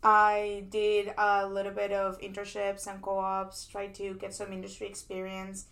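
A woman's voice: medium pitch, monotone, measured pace, vocal fry, fairly bright tone. A young woman from Peru, a PhD student guest, chatting casually and telling her story with many likes and restarts, recorded at a conference. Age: young adult. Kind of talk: casual interview. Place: conference venue.

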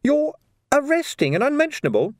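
Naive young male constable, played by an older-sounding reader: boyish, pitched well up, earnest, anxious sidekick for an audiobook. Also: huge pitch swings, normal speed, dry recording, studio quality, heavily acted. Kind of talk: audiobook character voice.

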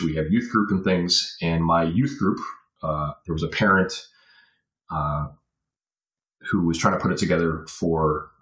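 Deep-voiced American man in an echoey room. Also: fairly bright tone. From Virginia, USA. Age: 40s. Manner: rambling, punctuated with "you know". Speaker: podcast guest. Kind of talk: casual reminiscing in an interview. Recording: roomy, echoey sound.

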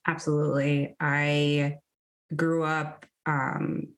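A woman's voice: sits low, flat delivery, takes it slowly. A woman in her thirties from New Jersey, talking candidly and reflectively as a guest in a casual interview. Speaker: podcast guest.

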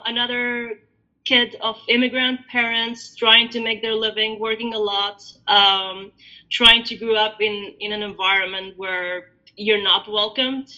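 Bright-voiced woman from Albania, reflective. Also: mid-range voice. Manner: reflective, halting storytelling with many fillers. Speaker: guest. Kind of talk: podcast interview. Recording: dull lo-fi audio.

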